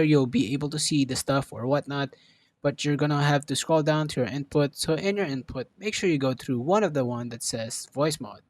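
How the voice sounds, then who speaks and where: A man narrating a casual step-by-step software tutorial in an informal, instructional way. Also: mid-range voice. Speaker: tutorial presenter.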